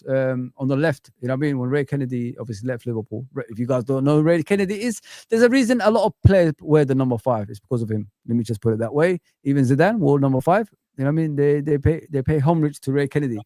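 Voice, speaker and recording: A man from Africa chatting casually as a football pundit on a fan livestream, reminiscing in an animated way and often adding "you know what I mean". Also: mid-range voice, fast talker, hardly any pauses, dry recording, studio quality.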